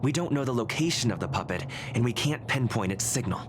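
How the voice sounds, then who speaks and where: An actor playing a composed, stubborn outsider in a video game, speaking in a measured, earnest and firm way. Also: male voice, medium pitch, animated, slight background noise, close to an everyday voice. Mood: firm, defiant. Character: composed, stubborn young male outsider defending himself. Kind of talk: scripted video game voice acting.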